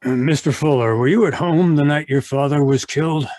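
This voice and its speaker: A man's voice: a little high, brisk, darkish tone, no echo, lightly acted. Shrewd, polite newspaper reporter played by a man. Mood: polite, probing. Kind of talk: old-time radio mystery acting. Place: remote video call performance. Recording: live video-call recording, dry.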